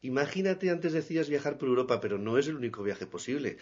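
Chatty Spaniard radio host, lo-fi sound. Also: male voice, medium pitch, animated, fast talker. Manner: chatty, prompting questions. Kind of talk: radio talk and phone interviews. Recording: dull lo-fi radio recording.

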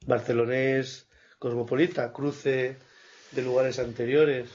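Playful, husky-voiced male podcast host. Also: medium pitch, takes it slowly. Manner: lively, playful questioning. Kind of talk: conversational interview. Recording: slightly muffled podcast recording.

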